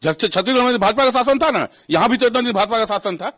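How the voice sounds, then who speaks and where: A man's voice: very high-pitched, lively intonation, quick pace, fairly bright tone. A man with a Jharkhand Hindi accent giving heated political commentary, indignant and combative, hammering phrases by repeating them. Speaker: panel guest giving his opinion.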